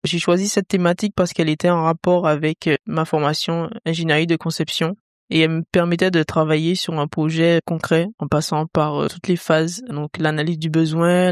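A woman's voice: sits low, clean tone, no room sound, clean studio sound. A woman in her twenties from Martinique, a master's student in engineering, talking carefully with frequent hesitations. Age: 20s.

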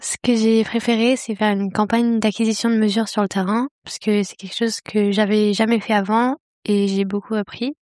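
Composed woman in her twenties, flat delivery. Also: medium pitch, normal speed, hardly any pauses, no room sound, clean studio sound. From Paris region, France. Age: 20s. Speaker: engineering intern.

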